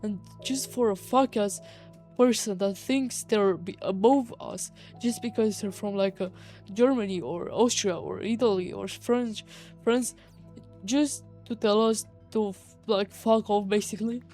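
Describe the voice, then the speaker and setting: A woman's voice: medium pitch, measured pace, mellow, faint music behind. A teenage young woman from Romania with a Romanian accent, giving a casual personal rant in an exasperated, slangy, emphatic way peppered with swearing. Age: teenager, high schooler. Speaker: vlogger.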